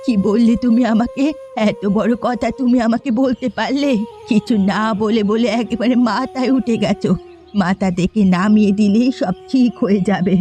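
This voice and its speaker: A woman's voice: fairly high pitch, normal speed, dark tone, natural voice. A woman voicing a proud, quarrelsome elderly mother-in-law in a cartoon, scolding in a melodramatic way. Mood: indignant, hurt. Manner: scolding, indignant. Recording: music behind the voice.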